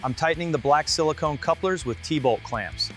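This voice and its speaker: A man's voice: on the high side, moderate pace, fairly bright tone, faint music behind. A man working as a car restoration mechanic, calling out each step in short, clipped, procedural phrases. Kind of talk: short step-by-step workshop commentary.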